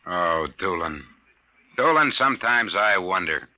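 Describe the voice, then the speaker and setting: Vintage radio casting: wry hard-boiled gumshoe boss, forceful low voice, clipped slang, muffled old recording. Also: male voice, lively intonation, measured pace, no echo, heavily acted. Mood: exasperated, bossy. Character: sardonic, hard-boiled male private detective boss. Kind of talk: 1950s radio detective drama acting.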